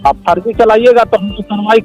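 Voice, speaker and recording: A high-voiced man with a Bihari accent presses his complaint on a recorded phone call. He is insistent and accusatory, repeating his demands, with music playing behind him. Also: fairly even intonation, a little fast, a little mellow. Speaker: monk, head of a monastery, complaining caller. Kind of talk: heated phone argument.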